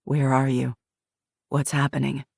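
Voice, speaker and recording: A woman voicing a calm man who guides someone through a memory with soft, steady questions. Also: a bass voice, a little slow, vocal fry, breathy edge, dry recording, studio quality, exaggerated voice. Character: calm man guiding a hypnotic recall.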